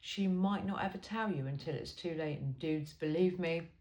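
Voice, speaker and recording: A woman's voice: low voice, moderate pace, a little mellow. A woman reading a humorous self-help book aloud informally, with a wry, conversational, emphatic delivery. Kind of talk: informal book read-aloud with chatty asides. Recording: roomy, echoey sound.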